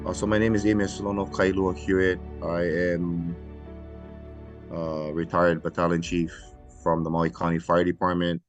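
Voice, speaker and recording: A middle-aged man from Hawaii, a retired fire battalion chief, speaking earnestly and reflectively with many pauses in a podcast interview. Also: low-pitched, flat delivery, muffled recording, soft background music.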